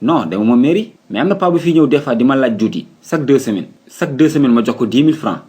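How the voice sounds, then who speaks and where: An actor playing a respectful young street coffee seller in a comedy cartoon, with a Senegalese accent. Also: male voice, mid-range voice, animated, fast talker, a little mellow, husky. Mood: respectful, puzzled.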